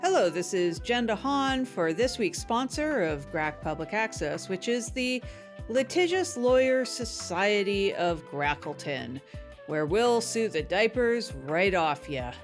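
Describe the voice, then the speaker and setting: A woman's voice: medium pitch, very animated, unhurried. A woman delivers a scripted mock advertisement. Her mid-range voice is declarative as she presents the sponsor. Light music plays behind her. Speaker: sponsor ad reader.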